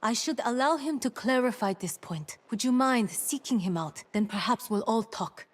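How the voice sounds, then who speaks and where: A woman playing a dutiful female town leader in a fantasy game, speaking in a measured, formal and earnest way. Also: on the high side, lively intonation, a little hiss or hum, natural voice. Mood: thoughtful. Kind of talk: scripted fantasy game dialogue.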